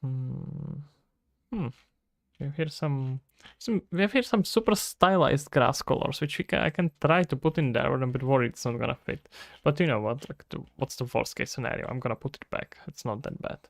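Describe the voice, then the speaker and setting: A man's voice: medium pitch, a little fast, gravelly, dry recording, studio quality. A Czech-accented man streaming game development, thinking aloud and answering chat questions casually. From Czech Republic. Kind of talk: casual live-stream chat while working.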